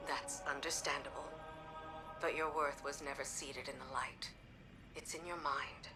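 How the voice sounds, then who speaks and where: A woman's voice: sits low, fairly even intonation, measured pace, distant mic. An actress playing a stoic, loyal woman soldier in a video game, speaking in a restrained, earnest, self-doubting way. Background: music.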